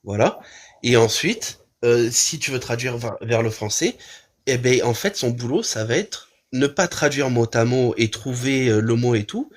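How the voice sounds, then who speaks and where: A man's voice: mid-range voice, moderate pace. Hesitant man hosting a live debate. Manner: hesitant, many restarts, calm arguing. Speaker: livestream host. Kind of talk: casual debate on a live stream.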